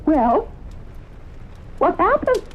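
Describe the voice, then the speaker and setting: An actress playing an anxious, bereaved mother in a 1970s radio drama, earnest, halting and imploring. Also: extremely high pitch, very animated, full character voice. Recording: narrow-band, noisy old radio recording.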